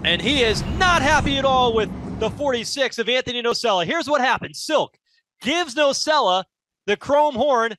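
High-voiced man calling a car race. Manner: rapid, excited race calling. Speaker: race commentator. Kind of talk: live play-by-play commentary. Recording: loud race-car noise underneath. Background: roaring race engines.

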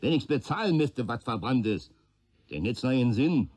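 An actor playing a sullen, cynical arson suspect in a German radio play, grumbling in colloquial speech. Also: male voice, mid-range voice, animated, normal speed, a little mellow, natural voice.

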